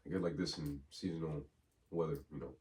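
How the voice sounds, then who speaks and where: A man hosting a casual podcast, hoarse from a cold, loose and slangy, repeating himself as he talks. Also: rumbling low, steady tone, a little fast, dark tone. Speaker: podcast host. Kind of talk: casual chat. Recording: distant mic with faint room noise.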